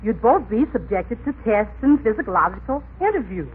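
A woman's voice: big pitch swings, moderate pace, natural voice. Club lady, actress: formal, efficient, polite, old-time radio comedy, thin and faintly noisy sound. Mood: polite, businesslike. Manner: formal, businesslike, high-toned. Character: brisk, proper female club interviewer. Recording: scratchy old radio broadcast, narrow band.

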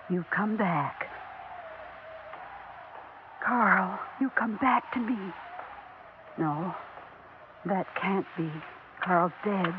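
A woman's voice: medium pitch, background noise. An actress playing an older widowed mother who insists her dead husband came home, anxious and pleading, in a 1960s radio drama. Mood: frightened. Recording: dull lo-fi old radio recording.

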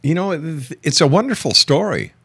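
A man's voice: on the high side, very animated, moderate pace, a bit bright, slightly rough, no echo. A man hosting and presenting a radio interview show. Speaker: radio host.

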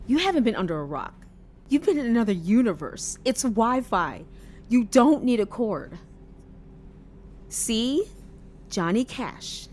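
A quirky young woman on a night bus in an audio drama. She chatters with lively, swooping intonation, earnest and matter-of-fact however strange it gets. A faint rumble sits behind her. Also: slow, long pauses. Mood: exasperated. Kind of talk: audio drama acting, conversational. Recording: faint background noise with rumble.

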